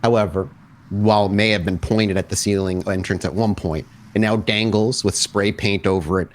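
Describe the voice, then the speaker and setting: Low-voiced man narrating a tabletop game. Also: steady tone, normal speed. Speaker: game master of a vampire tabletop roleplaying podcast.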